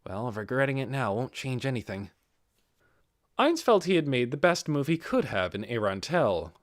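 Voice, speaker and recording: A man's voice: mid-range voice, very animated, moderate pace, dry recording, studio quality. A man reading a fantasy novel aloud as scripted audiobook narration. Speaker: audiobook reader.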